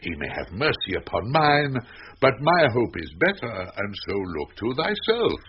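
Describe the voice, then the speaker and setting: An actor playing a boisterous, mocking knight, theatrical and relishing each phrase in Shakespearean radio drama. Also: male voice, mid-range voice, wildly swooping pitch, moderate pace. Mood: mock-solemn reading. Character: boisterous, mocking knight, a scheming prankster uncle. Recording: dull noisy early-1990s radio drama recording.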